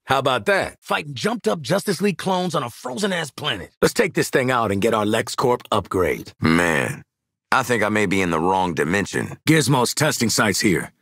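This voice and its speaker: Brash, sardonic mercenary sharpshooter for a game; husky voice, very animated delivery, slangy. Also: male voice, medium pitch, moderate pace, dry recording, studio quality. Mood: brash, confident. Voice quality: raspy, gravelly. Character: cocky, wisecracking male mercenary marksman in a squad. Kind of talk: video game voice lines.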